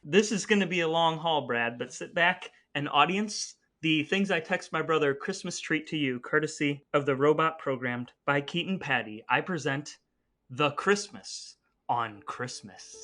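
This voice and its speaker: Bright, forceful male podcast host. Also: fairly high pitch, animated, normal speed. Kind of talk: comedic podcast banter and dramatic reading.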